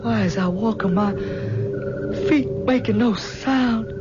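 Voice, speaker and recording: An actor playing a frightened young man lost in a ghostly afterlife, speaking in halting, stammering phrases in a radio drama. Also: high voice. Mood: bewildered. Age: young adult. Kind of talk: 1970s radio drama acting. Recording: dull 1970s radio recording with music.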